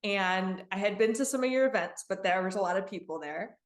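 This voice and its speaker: A woman's voice: mid-range voice, fairly quick. Enthusiastic woman host, echoey room. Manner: enthusiastic, welcoming. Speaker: interview host. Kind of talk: hosting an interview.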